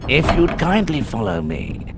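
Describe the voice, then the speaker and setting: An actor playing an eccentric, courteous old wandmaker in a video game, speaking in a deliberate, theatrical, musing way. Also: male voice, mid-range voice, wildly swooping pitch, noisy background. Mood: instructive, encouraging. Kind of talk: scripted video game character acting.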